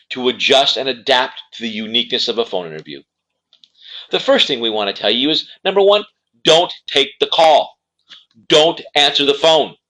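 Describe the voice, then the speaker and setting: A man's voice: medium pitch, pushed and bright, low-quality recording. A man presenting as a career coach, giving instructional advice in an emphatic way and repeating key words for stress. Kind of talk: instructional talk to camera.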